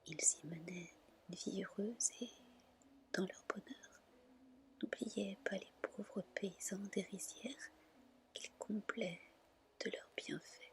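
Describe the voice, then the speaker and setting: A woman softly and gently reading a fairy tale as an ASMR storyteller. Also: medium pitch. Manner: soft, gentle, unhurried narration.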